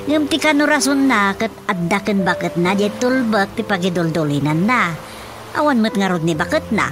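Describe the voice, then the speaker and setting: A woman's voice: medium pitch, animated, normal speed, lightly acted. A woman acting a fretful, complaining village woman with an Ilocano accent in a radio drama comedy. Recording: music bed behind the voice.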